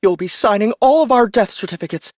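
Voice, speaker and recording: A man voicing a loyal, dutiful young woman lieutenant who speaks in a high, pressed voice. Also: expressive, fairly quick, dry recording, studio quality. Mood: anxious, urgent.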